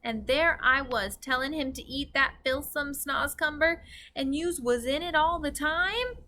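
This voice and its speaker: A woman voicing a gentle, dignified male storybook giant who speaks earnestly in muddled grammar. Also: high voice, a little slow, pitched above the performer's own voice. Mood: concerned, earnest. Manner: earnest, innocent, garbled grammar.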